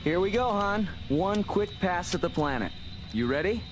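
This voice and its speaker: An earnest young rebel pilot in a space adventure radio play. His boyish voice sits fairly high and moves in lively swings, keen and eager, with music playing behind him. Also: moderate pace. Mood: eager, focused. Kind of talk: space adventure audio drama acting.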